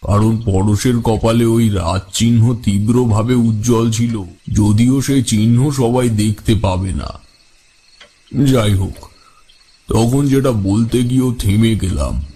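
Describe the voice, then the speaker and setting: A man's voice: lowish voice, takes it slowly, dark tone, slightly rough. A man playing a wise, composed tantric storyteller, narrating his tale in the first person for an audio drama. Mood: solemn, composed.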